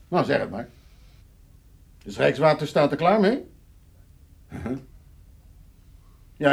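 An actor playing a gruff, pious, middle-aged farmer father, curt and domineering, in a radio drama. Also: mid-range voice, darkish tone, heavily acted.

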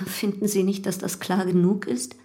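Woman playing young widow in love, calm and defiant, radio drama thing, middle pitch. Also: normal speed, lightly acted. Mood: composed, matter-of-fact. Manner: composed, unashamed. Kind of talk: German radio drama acting.

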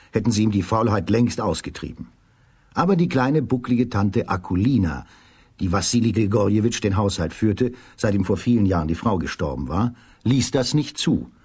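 Husky male fairy-tale narrator. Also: on the low side.

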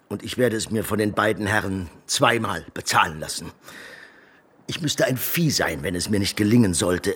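An actor playing a brash, cynical, gossipy painter and fixer in a radio play, speaking in a mocking, worldly, eloquent way. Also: male voice, on the low side, a bit bright, slightly rough. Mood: boastful, scheming. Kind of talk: German radio play acting.

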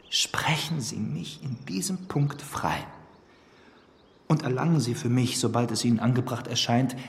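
An actor playing a young, effusive gentleman voicing his ornate, self-justifying letter in standard German, with a reverb effect. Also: a little high. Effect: reverb marking a letter voice. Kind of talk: period radio drama acting. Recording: long reverberant hall effect.

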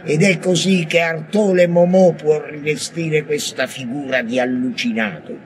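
A man's voice: a little high, fairly even intonation, measured pace, lightly acted. A man playing an intense, defiant madman poet in a theatrical, declamatory radio monologue with prophetic ranting. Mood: feverish, insistent. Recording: noisy radio recording.

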